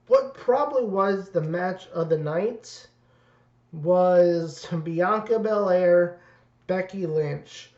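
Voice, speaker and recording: A man reviewing wrestling in a casual, rambling way, his voice raspy and worn. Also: high-pitched, darkish tone. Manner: rambling, many fillers and restarts. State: raspy voice from two nights of events. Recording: dull roomy lo-fi audio.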